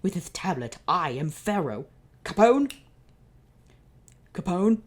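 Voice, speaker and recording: A woman's voice: on the low side, big pitch swings, slow pace, voice pushed up, heavily acted. A woman voicing a scheming, lisping wannabe-pharaoh man who plays the villain in a fan story reading. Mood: menacing. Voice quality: lisp.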